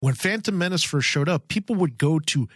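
A man chats as a podcast co-host, his voice a little high and full of movement. He sounds casual and agreeable, with no room echo around him. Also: animated, brisk, fairly bright tone, breathy edge, clean studio sound. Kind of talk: casual chat.

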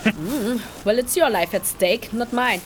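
A woman's voice: on the high side, measured pace, slight background noise, close to an everyday voice. A woman acting a casual, wry, skeptical companion in a fantasy audio drama. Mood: curious, wry. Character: flippant female companion, impressed and skeptical onlooker.

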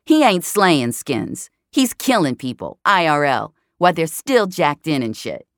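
A woman puts on a bratty young punk boy for a sci-fi audio drama. He is defensive and slangy, lifted in pitch with an animated swing, recorded dry and close. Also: measured pace, dry recording, heavily acted. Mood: sneering. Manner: slangy, sweary, defiant.

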